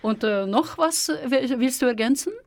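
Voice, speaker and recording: Woman, radio host, middle pitch, halting delivery with lots of fillers. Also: animated, a little mellow. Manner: hesitant, with frequent fillers. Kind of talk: radio interview.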